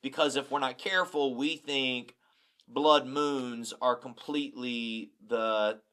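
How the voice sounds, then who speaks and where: A man's voice: mid-range voice, slow pace, fairly bright tone, clear, resonant tone. A middle-aged man who is a pastor, talking candidly and bluntly in a casual podcast chat, often repeating words as he starts a thought. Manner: candid, blunt, self-deprecating.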